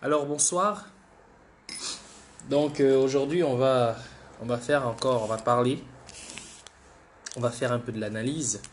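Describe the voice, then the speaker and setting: A man's voice: mid-range voice, measured pace, pauses often, slight background noise. Man with the flu, livestreaming. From Gabon. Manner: repetitive, restarts words, keeps urging viewers. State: has the flu. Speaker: livestream host. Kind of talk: political livestream commentary.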